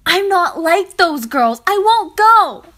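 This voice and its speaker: A woman's voice: very high-pitched, sing-song, slow pace, a bit bright, full character voice. A woman playing a headstrong, defiant young girl who pleads emphatically in an audio drama. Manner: emphatic, indignant. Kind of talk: fan audio drama acting.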